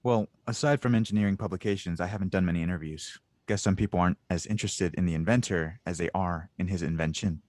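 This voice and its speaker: A man playing a genial, wistful older inventor in an audio drama table read, speaking in a conversational, unhurried way. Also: low-pitched, a little mellow, dry recording, lightly acted. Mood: friendly, wistful.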